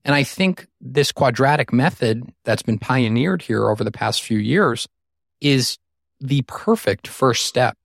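A man with a middle-pitched voice talks through his own take as a co-host. He reflects aloud and grows enthusiastic as he makes a point. The recording is dry and close. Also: expressive, a little slow, creaky, no echo. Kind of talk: interview discussion.

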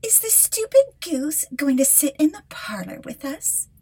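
Woman putting on a high, drawling, spoiled young stepsister. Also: big pitch swings, unhurried, dark tone, no room sound, exaggerated voice. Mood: scornful. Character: vain mocking young stepsisters, spoiled and cruel.

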